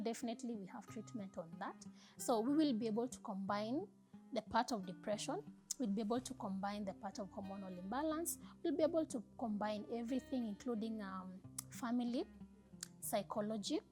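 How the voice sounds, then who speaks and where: Calm woman explaining medical care. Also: on the high side. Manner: calm, steady, repeats points for emphasis. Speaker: health professional.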